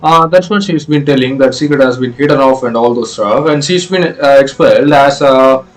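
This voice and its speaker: Guy doing a casual game playthrough, non-native english, hesitant n halting, mid pitch, faint music behind. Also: rough recording. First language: not English. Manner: halting, hesitant, wordy explaining. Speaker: gameplay video host.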